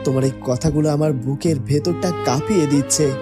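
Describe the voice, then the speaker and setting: A woman's voice: deep voice, a little fast, over loud music, natural voice. A woman playing a despairing, bitter crown prince and grieving father in a cartoon. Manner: defeated, bitter, sorrowful.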